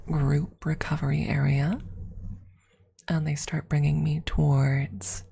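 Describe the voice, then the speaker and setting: Woman, ASMR streamer, slow unhurried delivery, creaky low register, soft and breathy, whispery dips, close mic. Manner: unhurried, halting, often dropping into whispers. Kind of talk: soft-spoken ASMR storytelling to a live chat. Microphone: close ASMR microphone.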